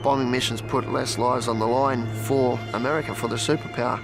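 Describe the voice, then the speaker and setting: A man's voice: mid-range voice, level tone, fairly quick, creaky, over music. A man narrating a documentary in a plain, matter-of-fact way.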